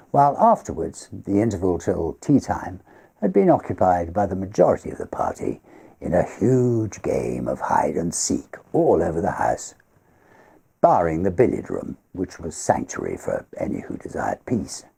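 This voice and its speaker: A man with a husky, gravelly voice reads a literary ghost story aloud in a theatrical, measured way. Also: sits low. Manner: theatrical, measured, expressive phrasing. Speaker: story reader.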